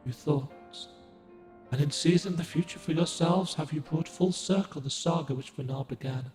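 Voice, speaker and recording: An ancient moon guardian spirit from a game speaks in formal, old-fashioned phrases, gentle and measured, as a man voices him. His voice sits slightly high for a man. A long, roomy echo trails every line. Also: faint music behind, lightly acted. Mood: solemn. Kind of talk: game cutscene dialogue.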